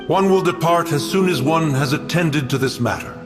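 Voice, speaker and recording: A man's voice: on the high side, lightly acted. Man voicing a haughty ancient mountain spirit, echoing. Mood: aloof, dignified. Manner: stern, archaic, stately, slow formal speech. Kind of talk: dramatic game voice acting. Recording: long echoing reverb with music behind.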